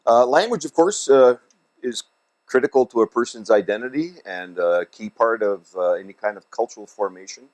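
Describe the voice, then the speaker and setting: A man's voice: medium pitch, lively intonation, normal speed, a little mellow. A man whose first language is Dutch, giving hesitant remarks to camera at a language celebration, with many fillers and a dry joke. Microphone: video to camera.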